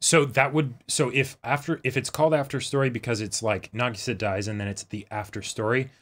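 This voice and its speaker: A man's voice: mid-range voice, fairly even intonation, a little fast, fairly bright tone. A man. He chats informally as a reaction-show co-host, in an agreeable way that builds on what his partner offers. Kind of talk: casual discussion of an anime episode.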